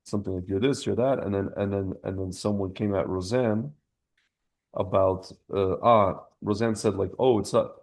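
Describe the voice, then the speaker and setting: Gravelly man in casual, rambling podcast chat. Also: fairly low pitch, steady tone, normal speed, darkish tone. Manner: rambling, slangy, repeats and breaks off phrases. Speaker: podcast host.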